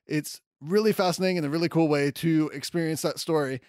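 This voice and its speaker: A man's voice: fairly high pitch, fairly even intonation, fast, a little mellow, clean tone, no echo, clean studio sound. A man hosting a podcast in a casual, conversational way, with enthusiasm and self-deprecating asides. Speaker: podcast host. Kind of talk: casual comics news commentary.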